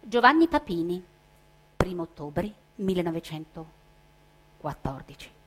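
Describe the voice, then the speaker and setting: A woman giving a theatrical literary recitation as a stage reader, declamatory, stretching words and pausing. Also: fairly low pitch, big pitch swings, background noise.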